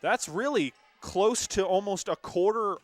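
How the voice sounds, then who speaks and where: Young man calling volleyball, highish voice. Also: a little slow, no room sound. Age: young adult. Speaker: volleyball play-by-play announcer. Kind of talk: live sports commentary.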